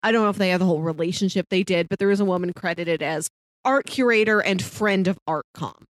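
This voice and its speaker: A woman's voice: on the low side, quick pace, dry recording. Dry-sounding woman chatting casually. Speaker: podcast co-host. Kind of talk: casual discussion of a TV series.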